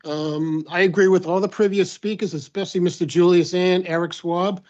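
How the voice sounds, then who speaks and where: A man's voice: high-pitched, steady tone, moderate pace, a little mellow. A man bluntly and indignantly opposing a tax during public comment at a meeting. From Massachusetts. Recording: dull lo-fi meeting audio.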